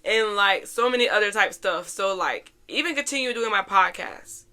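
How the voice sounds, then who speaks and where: A young woman hosting a casual, chatty life-update podcast in an informal, slangy way, her voice a little raspy and hoarse. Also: medium pitch, animated, normal speed, pushed and bright. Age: young adult. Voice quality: slightly raspy, worn voice. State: hoarse after a weekend out. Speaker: podcast host.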